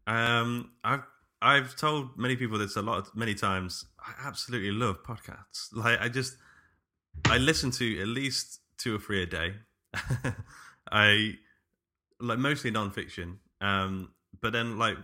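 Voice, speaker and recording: A man's voice: lowish voice, pushed and bright. A man hosting a podcast in casual chat, rambling enthusiastically with some hesitation. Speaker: podcast host.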